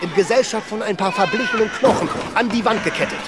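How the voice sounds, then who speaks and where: An actor in a radio play voicing a boisterous, cynical travelling player with a theatrical, mocking manner. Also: male voice, sits high, fast talker, over a noisy crowd, heavy background noise, close to an everyday voice. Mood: ranting, mocking. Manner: theatrical, mocking, swinging from hushed to ranting. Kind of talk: radio drama acting.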